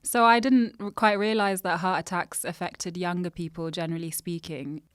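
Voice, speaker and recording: A woman hosts a science podcast, reading her guest's introduction and then chatting in an upbeat, enthusiastic way. Her voice is smooth and clear and sits a little low. The recording is dry and close, with no room sound. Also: steady tone, normal speed, no echo. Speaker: podcast host. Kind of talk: scripted intro then interview chat.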